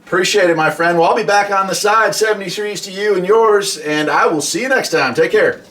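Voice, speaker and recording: A man's voice: sits high, a little fast, fairly bright tone, slight background noise. A man from the United States talking casually and explaining his radio hobby in a chatty, folksy way. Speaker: hobby vlogger and CB radio operator. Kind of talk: casual explainer talk with radio call demonstrations.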